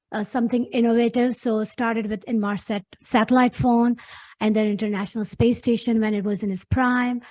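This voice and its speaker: A woman's voice: a little high, a little mellow. A middle-aged woman from Pakistan, a guest talking earnestly in a casual interview and laughing easily.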